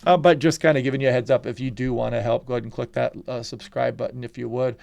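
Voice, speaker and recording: A congested man talks his way through forecast maps in an easygoing, conversational manner. His voice has a gravelly, creaky edge, and the recording is dry and close. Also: medium pitch, fast, no echo. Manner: relaxed, conversational, frequent "you know" and "kinda". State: congested from a head cold. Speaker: weather channel host. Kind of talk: casual forecast walkthrough over weather maps.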